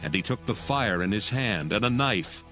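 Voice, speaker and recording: A man's voice: fairly low pitch, lively intonation, normal speed, pushed and bright, soft background music. A man narrating scripture as a scripted reading.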